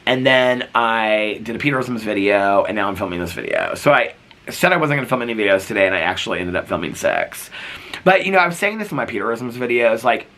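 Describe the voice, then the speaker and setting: A man's voice: fairly low pitch, animated, fast talker, pushed and bright. A man vlogging in a casual, rambling way, full of "like" and "um," while sick and losing his voice. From United States. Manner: rambling, full of likes and ums. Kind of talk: casual chatty vlog.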